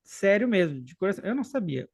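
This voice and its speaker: A man in his late thirties from southern Brazil with a faint gaúcho accent, telling a personal story in an unhurried, halting way, with frequent restarts and trailing asides. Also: sits high, sing-song, creaky, husky. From Rio Grande do Sul, southern Brazil. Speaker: podcast guest and co-host. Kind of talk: casual storytelling in a podcast chat.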